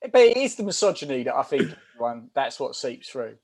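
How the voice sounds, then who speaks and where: A man's voice: medium pitch, big pitch swings, moderate pace, vocal fry, a little mellow, husky. A British man co-hosting a podcast, joking and ribbing his friend in casual banter. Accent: British English. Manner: joking, mocking. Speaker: podcast co-host.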